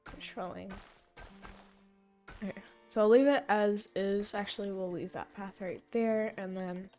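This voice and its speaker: Young female streamer, mid-pitched and slightly hoarse, rambling game commentary over soft background music. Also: measured pace. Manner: thinking aloud, rambling and self-correcting. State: voice fading, hoarse. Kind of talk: casual gameplay commentary.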